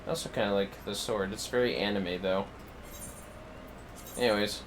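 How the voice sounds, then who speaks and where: A man's voice: on the low side, fairly even intonation, moderate pace, background noise. A man giving casual, playful livestream commentary on a video game, muttering asides to himself.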